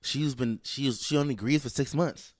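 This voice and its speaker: A man's voice: medium pitch, moderate pace, no room sound, studio quality. A man co-hosting a podcast with casual, slangy, disapproving commentary, often repeating his phrases. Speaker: podcast co-host.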